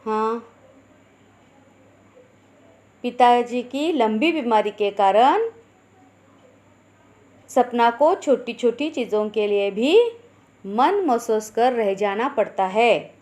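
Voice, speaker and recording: Lady teacher going slow thru a hindi lesson for kids, kinda high voice, asks then answers herself. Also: lively intonation, darkish tone. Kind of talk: Hindi grammar lesson for schoolchildren.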